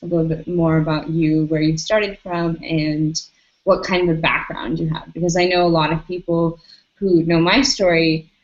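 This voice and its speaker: A young American woman hosting a video call interview from a bedroom, asking questions quickly and repeating herself as she goes. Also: low-pitched, flat delivery. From United States. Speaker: host. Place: bedroom indoors. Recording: dull lo-fi video call audio.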